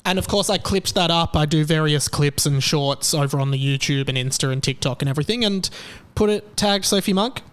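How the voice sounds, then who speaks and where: A young Australian man talks alone into a podcast mic, chatty and rambling. His voice sits a bit high and sounds smooth and clear. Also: brisk, fairly bright tone. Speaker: podcast host. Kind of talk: casual solo podcast monologue.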